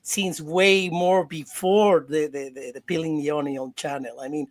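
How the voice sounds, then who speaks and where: A man's voice: on the high side, steady tone. Cheerful Mexican man, Spanish native speaker. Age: 40s. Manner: halting, repeats words, laughs often. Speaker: interview guest. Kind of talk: casual interview.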